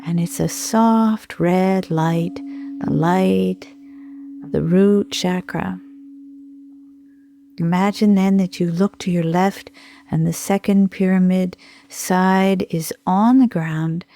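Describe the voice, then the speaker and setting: Slow, mellow woman guiding meditation. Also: lowish voice, steady tone, creaky. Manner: soothing, unhurried, gentle pauses. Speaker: sound healer and meditation guide. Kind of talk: guided meditation.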